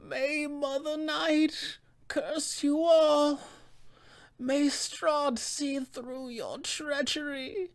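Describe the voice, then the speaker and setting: A man voicing an ancient, fanatical witch from a fantasy roleplaying game, speaking in slow, theatrical, drawn-out phrases. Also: very high-pitched, steady tone, voice pushed up, exaggerated voice. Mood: cursing. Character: ancient wicked witch, 400 years old, fanatically devoted, menacing.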